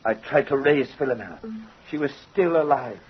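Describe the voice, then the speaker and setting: A man's voice: medium pitch, animated, husky. An actor playing a guilt-ridden craftsman confessing to a judge, earnest and dramatic, in old-time radio style. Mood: agitated, horrified. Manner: dramatic, earnest, deliberate phrasing. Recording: muffled old radio recording.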